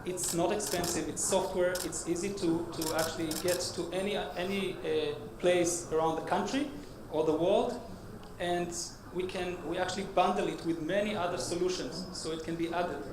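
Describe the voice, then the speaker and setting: Hebrew-accented guy doing a startup pitch, lots of uhs and restarts, kinda high voice, echoey noisy hall. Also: steady tone. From Israel. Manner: halting, many uh fillers and restarts. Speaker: startup CEO presenting. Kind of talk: conference pitch. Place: conference hall stage.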